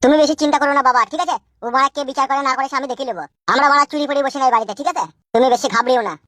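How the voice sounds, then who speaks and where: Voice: high-pitched, fairly even intonation, rapid-fire, pushed and bright, no echo, studio quality. A performer voicing a sulky, evasive young husband in a comic cartoon, argumentative and casually cursing. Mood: sulky, stubborn.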